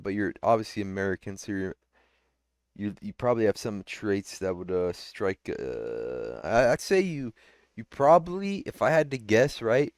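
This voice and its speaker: A man trading mocking, deadpan taunts on a voice call. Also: medium pitch, dry recording, studio quality. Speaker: streamer. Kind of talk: trolling banter on a voice call.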